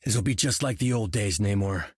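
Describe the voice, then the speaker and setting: An actor playing a good-natured veteran soldier hero in a video game, casual and wry. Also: male voice, lowish voice, moderate pace, husky, dry recording, clean studio sound. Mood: wry, easygoing.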